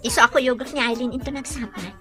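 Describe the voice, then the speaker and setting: A woman's voice: fairly high pitch, fast, music in the background, natural voice. A woman playing a friendly, chatty, teasing household cook in a drama, with an Ilocano accent. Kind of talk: acted dialogue in an Ilocano drama.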